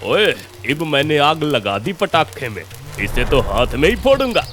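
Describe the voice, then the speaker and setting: A man's voice: on the high side, big pitch swings, a little slow, over music. A man voicing a boastful, reckless village strongman in a comedy cartoon, blustering with a Haryanvi accent. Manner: swaggering, blustering. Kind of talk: Hindi cartoon comedy voice acting.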